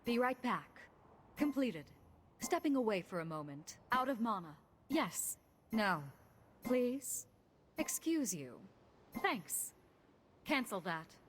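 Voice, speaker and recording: A regal video game goddess of spring and the underworld. She speaks slowly and theatrically, with expressive swings in pitch, polite on the surface and slyly menacing underneath. There is clear noise behind the voice. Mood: courteous, playful. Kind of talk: video game character voice lines.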